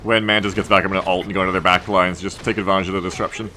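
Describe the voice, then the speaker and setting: A man's voice: deep voice, level tone, rapid-fire, pushed and bright. A man from Sydney with a mixed Australian accent, giving casual live commentary as he streams a game. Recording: game music behind the voice. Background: video game music and effects.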